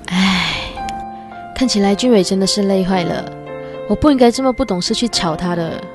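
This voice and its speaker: A woman's voice: mid-range voice, moderate pace, over music, natural voice. A woman playing a chatty, devoted young girlfriend who is eager to please, in a radio drama. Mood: self-blaming.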